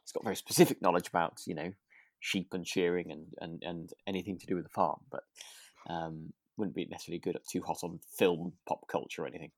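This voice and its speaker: A man's voice: sits low, fairly even intonation, fast talker, dry recording. Man chatting casually as podcast co-host. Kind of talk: casual chat recapping a radio drama.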